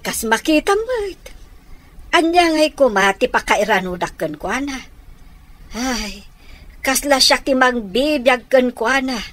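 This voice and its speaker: A woman's voice: high-pitched, animated, fairly bright tone, no echo. An actress playing a plaintive middle-aged village mother in a radio comedy-drama, drawing out her words with sighs and exclamations. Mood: rueful. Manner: drawn-out, sighing, exclamatory.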